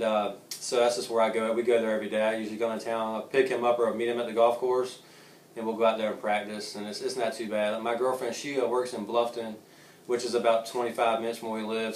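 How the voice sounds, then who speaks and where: A man's voice: mid-range voice, recorded from a distance. A man in his thirties from Savannah answers questions casually, joking and laughing as he goes and restarting his sentences often. He sits back from the mic in a roomy, echoing space. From Savannah, Georgia. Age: 30s. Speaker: golfer.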